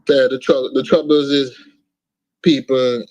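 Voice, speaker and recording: A man's voice: medium pitch, level tone, unhurried, a little mellow, no room sound, muffled recording. A man with an Antiguan accent, talking casually as a guest artist in an interview, often ending lines with "man" and "you understand". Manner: laid-back, sprinkles speech with "man" and "you understand". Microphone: video call.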